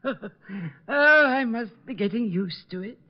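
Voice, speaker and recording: An actor playing a polite, fussy, eager butler in 1940s radio style, prim, deferential and easily flustered. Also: male voice, sits high, animated, slow, exaggerated voice. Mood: flustered amusement. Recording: muffled 1940s radio recording.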